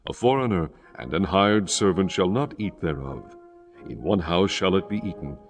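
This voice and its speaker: A man narrating scripture from a script in a solemn, measured, formal way. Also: sits low. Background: music.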